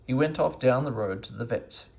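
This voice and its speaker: A man narrating a children's storybook aloud in an expressive reading style. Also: medium pitch, normal speed, gravelly. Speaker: storybook reader.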